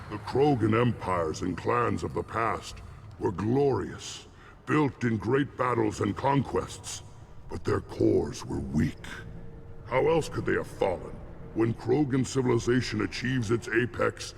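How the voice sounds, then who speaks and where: A man voicing a solemn, zealous alien warrior shaman in a video game, gravelly and ceremonial in his grand declarations. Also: on the low side, slight background noise, heavily acted. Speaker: clan shaman.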